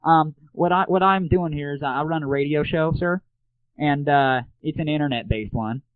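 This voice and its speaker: A man's voice: on the high side, moderate pace, smooth, no echo. Tinny, dry-sounding casual man. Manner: informal, crude slang. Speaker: internet radio show host. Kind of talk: casual comedy radio talk. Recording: lo-fi, phone-like narrowband audio.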